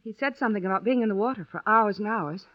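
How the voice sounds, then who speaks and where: A woman's voice: medium pitch, quick pace, lightly acted. An American actress playing an anxious, insistent young woman writer in an old-time radio drama. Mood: uneasy, polite. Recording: dull lo-fi vintage radio recording.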